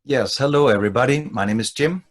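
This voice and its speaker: A man from Denmark, a professor, introducing himself on a video call with a brisk, eager manner. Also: mid-range voice.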